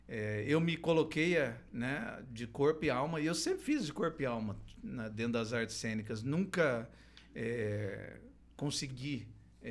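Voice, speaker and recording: Middle-aged guy from southern brazil, clown and drama teacher, talks in fits and starts, pauses and restarts a lot, mid voice. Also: medium pitch, a bit bright. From Brazil, Londrina. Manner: hesitant, many restarts and fillers, laughs easily. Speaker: guest, clown and theater teacher. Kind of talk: casual storytelling interview.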